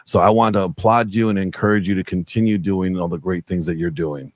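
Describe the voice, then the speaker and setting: Guest, middle-aged man, lowish voice, earnest and rambling, dry video-call sound. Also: fairly even intonation, brisk, no echo. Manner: earnest, rambling with many fillers. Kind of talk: casual interview chat.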